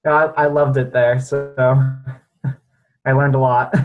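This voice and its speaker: A man's voice: medium pitch, takes it slowly, narrow, tinny audio, soft background music. Laid-back young man, early twenties. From New Hampshire, US. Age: early 20s. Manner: relaxed, laughing, lots of "like". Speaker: university student. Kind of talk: casual video call chat.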